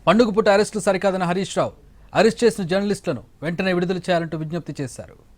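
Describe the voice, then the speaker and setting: A man's voice: high voice, fast talker. A man reading scripted news as an anchor in a formal bulletin style.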